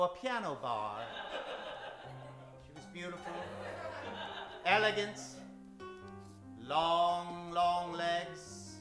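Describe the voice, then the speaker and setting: A man hosting a live old-time radio adventure show, announcing in a grandiose, hammy, tongue-in-cheek style with dramatic pauses. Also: high voice. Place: live theater stage, echoing room. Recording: very echoey theater, distant, music behind.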